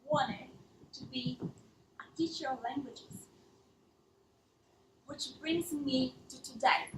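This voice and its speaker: A woman's voice: high voice, pushed and bright, a little hiss or hum. A woman in her thirties from Italy, speaking English with an Italian accent, giving an earnest storytelling talk as a language teacher, with small non-native slips. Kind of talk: storytelling talk to a live audience. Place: theatre stage. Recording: echoey hall, mic at a distance.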